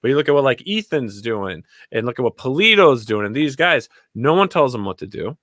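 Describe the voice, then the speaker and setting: Man, mid-pitched voice, dry recording, blunt casual panel chat, emphatic repetition. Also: very animated, a little fast, a bit bright, no echo. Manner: emphatic, repeats key phrases, blunt with swearing.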